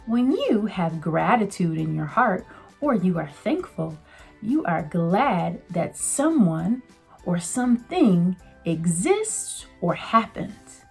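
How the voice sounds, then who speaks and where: A woman's voice: medium pitch, darkish tone. A woman teacher giving a children's read-aloud lesson, speaking directly to young listeners in an encouraging way.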